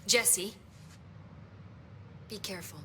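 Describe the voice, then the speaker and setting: A preoccupied female researcher in a video game. She speaks in a precise, measured manner at a medium pitch. Background ambience is clearly audible. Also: bright, forceful tone, slight background noise. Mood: helpful, concerned. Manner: measured, analytical. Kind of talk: video game cutscene dialogue, technical explanation.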